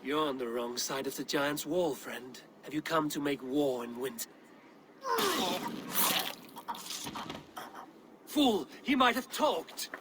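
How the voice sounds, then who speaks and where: An actor playing a bold, resolute Viking raid leader in a video game, direct and commanding. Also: male voice, a little high, animated, moderate pace, background noise, natural voice. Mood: menacing. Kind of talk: cinematic video game dialogue.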